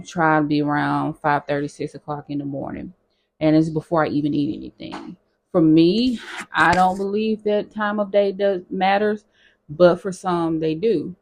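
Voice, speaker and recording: A nurse chatting casually with her viewers in a how-to vlog, talking straight to them as y'all, her voice hoarse. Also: low-pitched. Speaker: nurse sharing her own experience.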